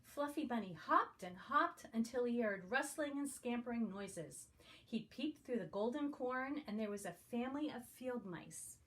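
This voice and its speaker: A woman's voice: on the high side, animated, moderate pace, a bit bright. A woman reading a picture book aloud to young children as a guest reader. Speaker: guest story reader for preschoolers.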